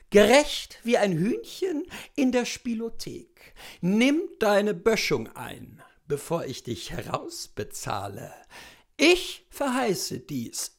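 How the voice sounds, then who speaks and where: Female reciter, mock-serious, declamatory, drawn-out words, swooping intonation, gravelly creak. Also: medium pitch. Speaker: reader of literary texts. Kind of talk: theatrical dramatic recitation of song lyrics as poetry. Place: indoors, room with bookshelves.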